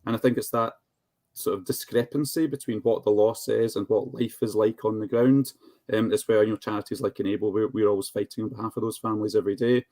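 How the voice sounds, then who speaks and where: Low-voiced man on a panel. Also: fairly even intonation, fast, dark tone, no echo. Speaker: charity representative on a panel. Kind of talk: panel discussion.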